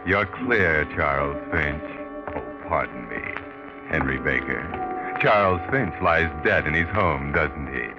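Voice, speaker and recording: Sinister old radio mystery narrator, really deep, deliberate and mocking, music underneath. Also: male voice, lively intonation, heavily acted. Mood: ominous, taunting. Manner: deliberate, taunting, ominous. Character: sinister, sardonic narrator, a taunting voice of fate. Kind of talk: 1940s radio mystery narration, second person. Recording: muffled vintage radio recording.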